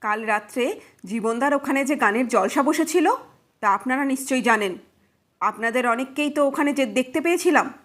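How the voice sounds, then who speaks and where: A woman's voice: sits high, moderate pace, a bit bright. Woman as a shaken young man recounting horror. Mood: earnest. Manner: earnest, dramatic, suspenseful recounting. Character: shaken young brother-in-law recounting a ghostly night visitor.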